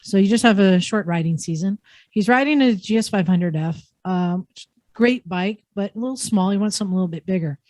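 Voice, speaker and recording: A woman's voice: mid-range voice, fairly quick, darkish tone, no echo, clean studio sound. A woman hosting a casual podcast conversation, talking informally with a group. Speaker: podcast host. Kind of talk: casual group chat about motorcycles.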